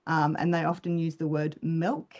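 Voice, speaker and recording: A woman with a New Zealand accent softened by Australian tones, a psychologist talking through ideas in a relaxed podcast chat on a video call, with many ums and restarts. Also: low-pitched, moderate pace, lo-fi sound. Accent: New Zealand English with Australian overlay. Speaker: clinical psychologist guest. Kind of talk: casual podcast conversation.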